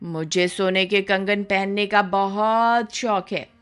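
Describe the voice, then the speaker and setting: A woman's voice: medium pitch, steady tone, takes it slowly, fairly bright tone, clean tone, soft background music. A woman playing a cruel, greedy older village mother-in-law in a cartoon story, commanding and sarcastic. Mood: scolding, demanding. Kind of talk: Hindi animated horror story acting.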